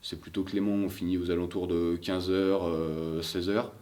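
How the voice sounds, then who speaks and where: A man's voice: sits low, flat delivery, normal speed, darkish tone. A young man in his late teens, a student athlete describing his routine in a matter-of-fact interview. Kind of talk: documentary interview.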